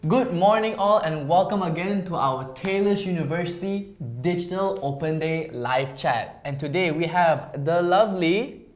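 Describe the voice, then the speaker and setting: A woman's voice: lowish voice, moderate pace, few pauses. A young woman, herself a student, hosting a live chat in a bubbly way, laughing easily and stumbling over repeated words. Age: young adult. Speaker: host.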